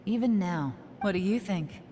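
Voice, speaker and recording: A woman's voice: medium pitch, lively intonation, moderate pace, warm tone, slight background noise, lightly acted. A woman voicing a cool, shrewd investigator in a video game, composed and teasing with a sardonic edge. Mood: cool, reproachful. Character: cool, shrewd female investigator, confident and mocking. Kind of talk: English video game voice acting.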